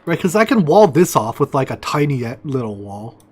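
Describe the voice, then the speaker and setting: A man whose first language is Chinese, casually talking to himself and his viewers while he plays a game, with mumbled asides and excited outbursts. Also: medium pitch, a little fast, slightly rough. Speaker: streamer. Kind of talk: casual gameplay commentary.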